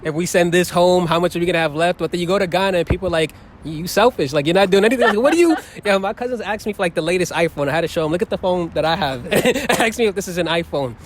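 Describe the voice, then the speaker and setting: A man's voice: sits high, rapid-fire. A young man, chatting animatedly in a street interview outdoors. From the Bronx, New York. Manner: animated storyteller, laughs often. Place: outdoor plaza, city park. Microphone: handheld phone held to him. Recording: faint outdoor city noise.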